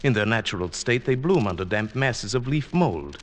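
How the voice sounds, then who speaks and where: An actor playing a meek, henpecked older botany professor in an old-time radio drama, speaking mildly and hesitantly. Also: male voice, medium pitch, animated, moderate pace, slight background noise, lightly acted. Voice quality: mild, hesitant.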